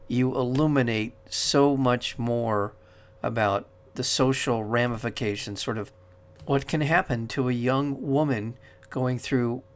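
Male radio host, measured and earnest, long-winded interview questions. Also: mid-range voice.

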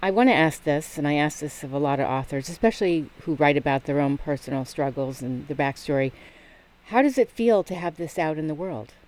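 A woman's voice: deep voice, lively intonation, a little fast, a little mellow. A woman hosting a radio show, interviewing a guest in an encouraging way.

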